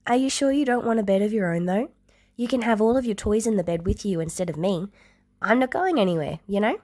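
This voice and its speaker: A woman's voice: medium pitch, brisk, darkish tone, lightly acted. A woman voicing a caring older girl from a story, casual and reassuring, cheerful with an awkward streak. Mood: gentle, reassuring.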